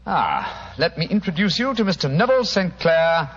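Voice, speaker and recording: An actor playing a brisk, confident, theatrical British gentleman detective in an old radio drama. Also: a little high, big pitch swings, noisy background. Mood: commanding. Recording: muffled old radio broadcast.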